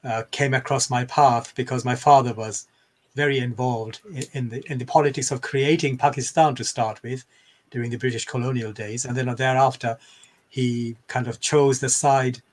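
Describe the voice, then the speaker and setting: An older man from Bangladesh, speaking as a scholarly interview guest in a measured, reflective, explanatory way. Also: medium pitch.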